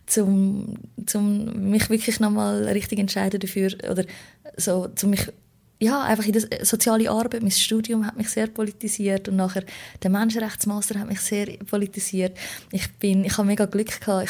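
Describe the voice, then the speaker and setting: A woman with a Swiss German accent speaks as a podcast guest. Her voice is mid-pitched, husky and full of fry. She gives a casual personal account, reflecting and searching for words as she goes. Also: steady tone, normal speed, warm tone. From Switzerland. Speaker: podcast guest, political candidate.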